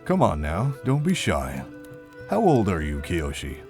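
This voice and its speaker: A man playing a gentle, wry middle-aged monk who is kindly with children in an animated fantasy story. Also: low voice, a little slow, over music, exaggerated voice. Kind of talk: animated fantasy visual novel voice acting.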